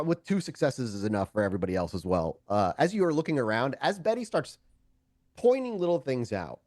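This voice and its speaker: A man's voice: lowish voice, no echo. A man narrating a tabletop game as game master, improvising with plenty of profanity. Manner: profane, animated storytelling.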